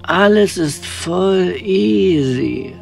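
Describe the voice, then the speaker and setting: A man voicing a laid-back stoner god who drawls lazily in a gravelly voice, music behind. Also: high-pitched, expressive, extremely slow, voice pushed up, full character voice. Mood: relaxed. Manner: lazy, drawling.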